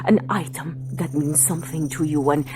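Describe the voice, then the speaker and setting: A woman playing a commanding, mystical palm reader in an audio drama, coaxing with formal phrases and dramatic, hushed pauses. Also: low-pitched, fairly even intonation, natural voice. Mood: ominous, urgent. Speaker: fortune teller. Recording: music under the voice.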